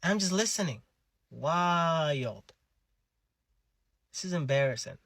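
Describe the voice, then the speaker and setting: A man's voice: fairly high pitch, expressive, takes it slowly, a bit bright, no room sound. A man speaking earnestly to camera, appealing to his own community. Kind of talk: personal monologue to camera.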